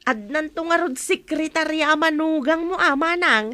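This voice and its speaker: An actress playing a chatty, teasing village storekeeper in a radio drama, speaking with an Ilocano accent. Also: female voice, sits high, a little slow, sharp tone, no echo. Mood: gossipy, teasing.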